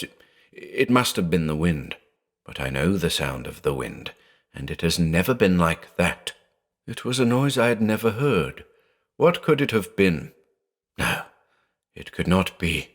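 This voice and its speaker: A man's voice: deep voice, expressive, unhurried. A man voicing a terrified blind man alone at night, frantic and pleading, breaking into shrieks. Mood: uneasy, reasoning.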